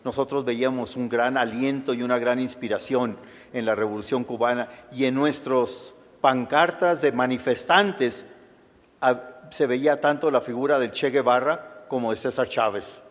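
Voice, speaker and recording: A man's voice: mid-range voice, moderate pace. Older Chicano man as historian answering questions, reflective, restarts phrases, reverberant hall. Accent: Chicano Spanish. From United States. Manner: thoughtful, with frequent restarts and fillers. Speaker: guest lecturer, historian. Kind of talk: lecture answering audience questions. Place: lecture hall. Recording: lecture hall with long reverb.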